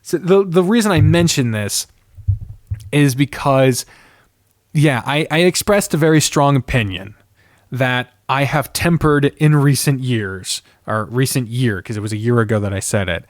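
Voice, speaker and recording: A man's voice: medium pitch, a little slow, no echo, studio quality. A man hosting a podcast, talking in a casual, opinionated rant with an exasperated, sardonic manner and plenty of swearing. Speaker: podcast host.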